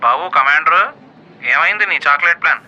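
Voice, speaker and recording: Quick actor as teasing college buddy. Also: male voice, a little high, muffled recording, music in the background, natural voice. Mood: mocking. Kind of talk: comedy audio drama acting.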